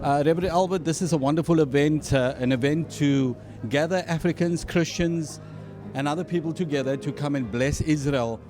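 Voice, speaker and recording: Measured male host, music behind. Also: on the high side. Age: 40s. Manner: measured, formal presenter. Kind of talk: interview hosting. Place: hotel dinner event.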